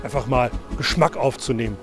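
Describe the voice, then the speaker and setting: A man's voice: medium pitch, brisk, a bit bright, faint music behind. A middle-aged man giving a friendly scripted welcome to young pupils, speaking outdoors into a handheld mic. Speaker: school staff welcoming visitors. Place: outdoors in a schoolyard. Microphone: handheld reporter mic.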